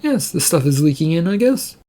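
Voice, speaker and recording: A man's voice: on the high side, very animated, brisk, warm tone, pitched above the performer's own voice. A man voicing an evasive, nagging ship's computer in an audio story, with a hesitant, insinuating way of talking.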